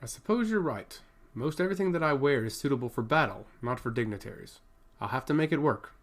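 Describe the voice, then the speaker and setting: Earnest fantasy warrior , played by a male narrator, fretful, with lively intonation. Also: medium pitch, fast, close to an everyday voice. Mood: puzzled, conceding.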